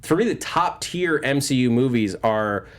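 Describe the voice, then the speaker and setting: A man hosting a casual panel discussion about films, giving his opinions in a halting, rambling way. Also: medium pitch, animated. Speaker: panel show host.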